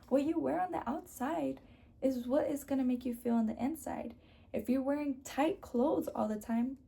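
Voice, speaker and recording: A woman's voice: on the high side, gravelly, dark tone. A young woman with a sore throat, chatting casually to camera as a beauty vlogger and sprinkling her talk with "like".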